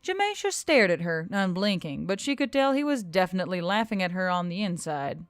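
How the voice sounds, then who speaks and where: Animated woman narrating a story. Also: lowish voice, a little fast, no room sound, clean studio sound, soft background music. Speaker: narrator and channel host.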